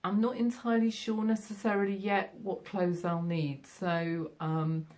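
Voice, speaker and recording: A woman's voice: mid-range voice, flat delivery, a little slow, a little mellow. A middle-aged woman with a Yorkshire accent, chatting casually to camera about her sewing from her sewing room at home, friendly and full of fillers. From Yorkshire, England. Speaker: sewing vlogger.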